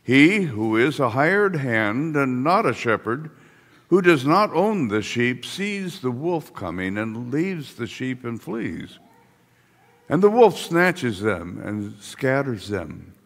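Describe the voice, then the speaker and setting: Older pastor reading slowly in a church hall. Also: male voice, mid-range voice, sing-song. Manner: slow, deliberate liturgical reading. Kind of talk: reading the gospel in a service. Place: church sanctuary, indoors. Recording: long church hall reverb.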